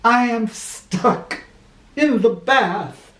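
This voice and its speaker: A woman's voice: on the high side, very slow, a bit bright, voice pushed up. A woman voicing a blustery, indignant, stout man who is a president, for a children's storybook. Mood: sheepish, strained. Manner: bellowing, indignant, grandiose. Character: blustery, stout, exasperated male president stuck in a bath. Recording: roomy, somewhat far from mic.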